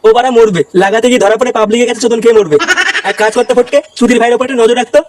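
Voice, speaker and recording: A man playing a cartoon idler grumbles at great speed in a high voice. He is crude and gossipy, on a noisy track with clicks. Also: fairly even intonation, very fast. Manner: crude, complaining. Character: grumbling cartoon layabout, foul-mouthed gossip. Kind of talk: comedy cartoon dubbing.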